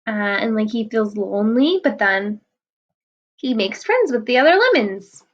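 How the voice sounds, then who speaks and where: A woman reading a picture book aloud to children, explaining the pages in a gentle, patient way. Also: fairly high pitch, animated, a little slow, lo-fi sound.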